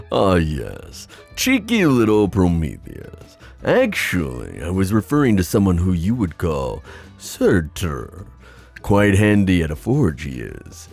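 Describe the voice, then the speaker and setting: A deep-voiced, gravelly god of the sea, acted by a man for a fantasy ASMR roleplay. He is playful and scheming, toying with his listener in a theatrical, teasing way. His unhurried lines swing dramatically up and down. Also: darkish tone, full character voice. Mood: amused, reminiscing. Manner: theatrical, teasing, drawn-out musing with chuckles.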